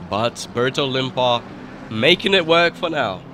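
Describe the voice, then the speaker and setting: A man commentating a sim racing broadcast live, sounding nasal from a cold, rising in excitement at overtakes. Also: mid-range voice, slight background noise. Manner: excited rises at overtakes, quick asides. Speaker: race commentator.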